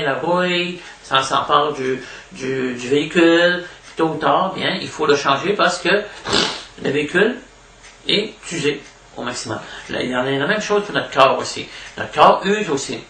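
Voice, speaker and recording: Quebec french guy giving a halting spiritual talk, bright creaky voice, mic kinda far away, bit of noise. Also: fairly high pitch. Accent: Québécois French. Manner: halting, repeats words, frequent "hein" tags. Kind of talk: informal extemporaneous spiritual lecture. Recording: echoey room, distant mic, faint noise.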